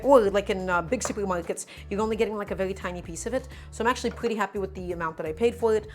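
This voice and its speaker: A woman whose first language is Russian, hosting a live cooking stream from her home kitchen. She explains each step in a chatty, teaching way. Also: mid-range voice. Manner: chatty, instructive, often says "my friends".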